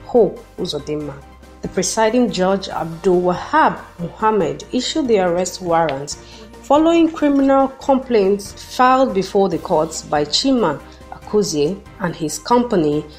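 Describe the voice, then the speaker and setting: A woman with a Nigerian accent reading the news in a measured, formal way as the show's newsreader. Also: mid-range voice, a little mellow.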